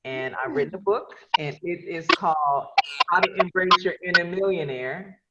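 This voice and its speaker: A woman's voice: lowish voice, moderate pace, muffled recording, heavy background noise. A woman from Chicago, a radio host and podcaster, telling her career story with enthusiasm on a video call. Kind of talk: casual video call chat.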